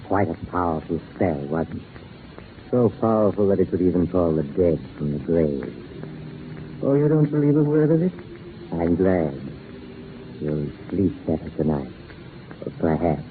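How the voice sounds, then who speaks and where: A scratchy, thin old radio recording carries the very low voice of a macabre storyteller. He is theatrical and deliberate, teasing the listener with sinister geniality in a 1940s horror style. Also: phone-quality sound.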